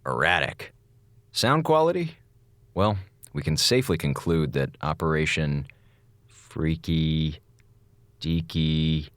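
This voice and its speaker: A sarcastic communications officer. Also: male voice, a bass voice, fairly bright tone, no echo, full character voice. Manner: sarcastic, joking, rambling. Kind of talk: audio drama log narration.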